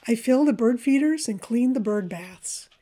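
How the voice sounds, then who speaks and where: A woman reading a scripted voice-over about nature in a gentle, measured storytelling manner. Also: a little high, expressive. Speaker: presenter of a backyard birding video for a library.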